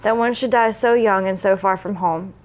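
A woman reading a storybook's young male mercenary, mournful, soft and earnest, questioning war. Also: mid-range voice, steady tone, moderate pace, lightly acted. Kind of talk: storybook read-aloud dialogue. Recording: dull lo-fi recording.

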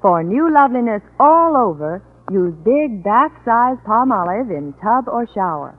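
A woman's voice: fairly high pitch, big pitch swings, a little slow. A woman announcing a soap advertisement in 1940s radio style. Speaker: commercial announcer. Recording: tinny old radio recording.

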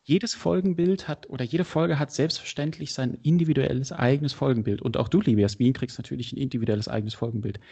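Male podcast host from Berlin, mid-pitched and a bit husky, casual, enthusiastic interviewing style. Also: quick pace, few pauses, dark tone. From Berlin, Germany. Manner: enthusiastic, chatty, addresses listeners directly.

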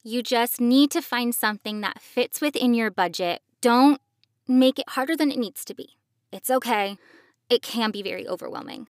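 A woman's voice: a little high, moderate pace, no echo, clean studio sound. A woman giving casual, reassuring, explanatory advice as a podcast host. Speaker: podcast host, professional voice actor.

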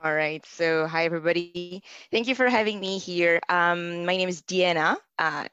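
A woman's voice: on the low side, normal speed, fairly bright tone, clear, resonant tone, dry recording, lo-fi sound, faint music behind. Laughing young woman, chatty. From Germany. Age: 20s. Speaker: guest. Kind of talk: casual chat.

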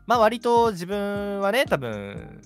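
二十代前半の男性がラジオの司会として、自虐も交えて掛け合いながら気軽に雑談している。. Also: male voice, high-pitched, big pitch swings, slow, faint music behind. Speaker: radio show host. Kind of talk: casual chat.

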